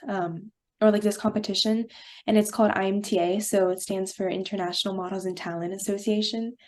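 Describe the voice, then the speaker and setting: A woman's voice: medium pitch, a little mellow. A teenage girl from Brazil, a young actress answering interview questions, bubbly and hesitant with fillers. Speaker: guest actress.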